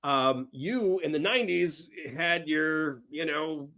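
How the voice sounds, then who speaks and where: A man's voice: a little high, slow, pushed and bright. Middle-aged man chatting about movies, repeating for emphasis. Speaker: podcast guest, horror film screenwriter and actor. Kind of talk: casual film chat.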